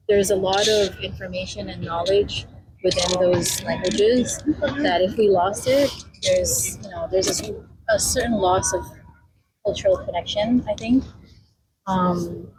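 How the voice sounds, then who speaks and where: A woman of Filipino heritage, guest on a community radio interview, talking in a soft, hesitant way with many fillers. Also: medium pitch, noisy background. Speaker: guest, mobile library founder. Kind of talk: casual interview talk.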